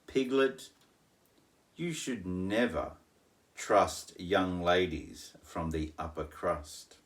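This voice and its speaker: A middle-aged man reading a rhyming children's story aloud, with dramatic pauses and playful asides. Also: low voice. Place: small home living room, in an armchair.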